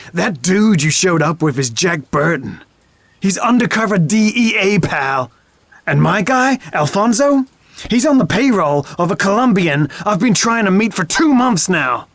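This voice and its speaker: A man's voice: high voice, big pitch swings, measured pace, a bit bright, no room sound, heavily acted. A man playing a brash, hot-headed undercover detective in an audio drama, sarcastic and combative, with a mixed accent that has Irish and London traces. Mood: insistent.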